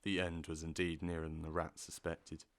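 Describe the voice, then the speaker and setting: A man narrating a classic children's story with measured, expressive delivery on a close mic. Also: extremely low pitch, dry recording. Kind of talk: audiobook narration.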